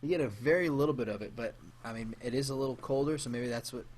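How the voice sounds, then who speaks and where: A man co-hosting a beer tasting podcast, describing flavors in a casual, halting way with many fillers. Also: mid-range voice, darkish tone, a little hiss or hum. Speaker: podcast co-host.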